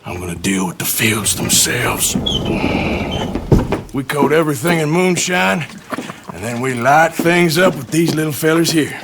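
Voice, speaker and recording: An actor playing a menacing, sardonic American outlaw with a Western drawl in a game cutscene. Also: male voice, fairly high pitch, animated, unhurried, very noisy. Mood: matter-of-fact planning. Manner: dry, sardonic, threatening. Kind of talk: dramatic game cutscene acting.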